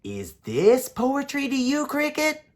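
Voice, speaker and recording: A woman's voice: sits high, huge pitch swings, takes it slowly, voice pushed up, exaggerated voice. A woman voicing a polite, curious little boy in a children's storybook.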